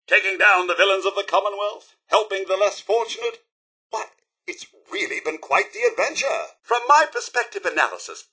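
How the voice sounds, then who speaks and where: An actor voicing a polite, fussy, devoted robot butler with a British accent and formal, theatrical delivery in a video game. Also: male voice, sits high, sharp tone, breathy edge, full character voice. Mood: cheerful, proud. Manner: formal, theatrical, deferential, primly articulated. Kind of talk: scripted video game companion dialogue.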